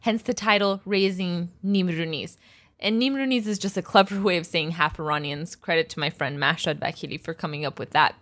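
A woman's voice: on the low side, a little fast, a bit bright. A woman hosting a podcast, talking in a chatty way with fillers and restarts. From United States. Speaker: podcast host. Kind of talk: podcast interview.

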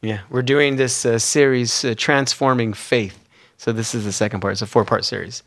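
A man's voice: medium pitch, steady tone, normal speed, a bit bright. A man hosting a radio show in a studio, chatting casually and joking with guests. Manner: jokey, laughs often.

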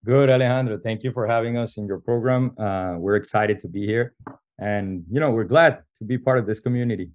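A man's voice: lowish voice, brisk, no echo, dull audio. Venezuelan man, dry podcast sound. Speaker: interview guest, restaurant co-owner. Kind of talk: conversational storytelling.

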